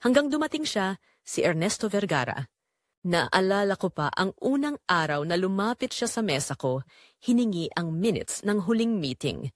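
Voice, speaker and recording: Remorseful secretary-mistress played by a woman, first-person romantic audio drama, animated intonation, confessional storytelling, dry recording. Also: mid-range voice, normal speed, dry recording. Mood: wistful, guilty.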